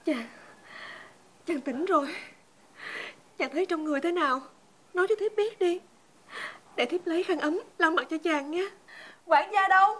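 An actress playing a tender, devoted young wife in a folk-tale period drama, speaking with formal, emotional pleading. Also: female voice, very high voice, moderate pace, long pauses, fairly bright tone. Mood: anxious. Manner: pleading, emotional, formal old-fashioned address.

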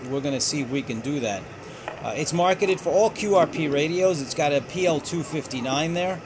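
A man hosting a ham radio channel, giving a casual product review in a halting, hesitant way. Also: on the high side, background noise.

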